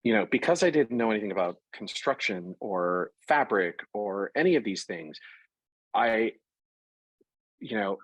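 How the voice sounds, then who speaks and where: A man being interviewed about his work in fashion, talking in a lively, conversational way and working through examples with frequent restarts. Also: lowish voice, measured pace, husky, dry recording, rough recording. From Puerto Rico. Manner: animated, talks through examples with self-corrections. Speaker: interview guest, fashion product executive.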